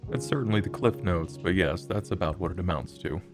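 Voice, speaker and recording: A man voicing a deep-voiced, curt male vampire in a tabletop roleplay. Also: expressive, fairly quick, music in the background. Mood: dry, dismissive.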